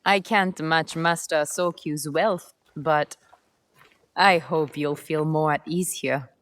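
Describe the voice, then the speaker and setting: A woman playing a poised, cunning, self-assured game character with a measured, unhurried way of talking. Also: low voice, fairly even intonation, fairly bright tone. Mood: sly, inviting. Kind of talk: video game cutscene acting.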